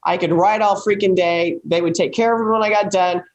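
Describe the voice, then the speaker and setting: A woman's voice: mid-range voice, steady tone, fairly quick. An American woman giving opinions in a casual panel chat, with a blunt, salty and folksy way of talking.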